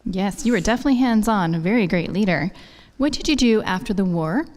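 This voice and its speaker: A woman's voice: medium pitch, animated, brisk, darkish tone. Polite woman hosting a scripted interview. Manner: polite, formal questioning. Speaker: show host. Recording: echoey hall reverb.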